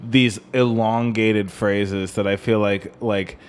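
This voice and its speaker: A man co-hosting a music podcast, talking casually and analytically in a halting, rambling way with some swearing. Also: fairly low pitch, fairly even intonation, clean tone.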